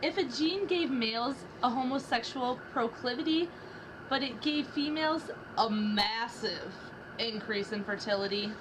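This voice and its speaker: A woman's voice: a little high, unhurried, background noise. A young woman doing a dramatic reading of rap lyrics as a student project, trading rhythmic lines with a partner. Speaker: student reader.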